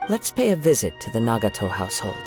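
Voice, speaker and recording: A man voicing a calm young traveler in a video game, politely questioning people. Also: on the low side, fast talker, over loud music. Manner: polite, steady. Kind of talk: game voice acting.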